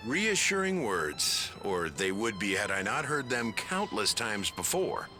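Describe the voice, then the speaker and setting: An actor playing a hospitable, dutiful village farmer in a video game, polite, formal and gently wry, over music. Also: male voice, on the low side, very animated, unhurried, full character voice. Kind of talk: scripted video game voice acting. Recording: music behind the voice.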